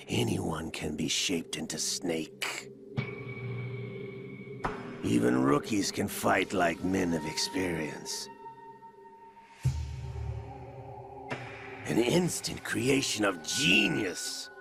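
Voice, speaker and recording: An actor playing a smug, cruel older gunslinger villain in a video game, gravelly, theatrical and condescending, with drawn-out emphasis. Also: male voice, low voice, exaggerated voice. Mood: smug, expository. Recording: loud dramatic score behind. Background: cinematic game music.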